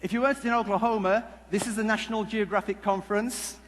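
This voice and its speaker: A man with a high voice presents on stage in a jokey, halting style. He wanders through humorous stories as a conference speaker. The hall adds long reverb, with some noise behind him. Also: steady tone. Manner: jokey, halting, rambling delivery. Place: large conference hall before an audience. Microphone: headset mic. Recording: echoey hall PA with background noise.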